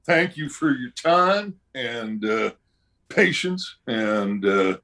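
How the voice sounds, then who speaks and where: A man's voice: mid-range voice, animated, slow, pushed and bright. A male game actor giving a casual message of thanks in a polite, hesitant way.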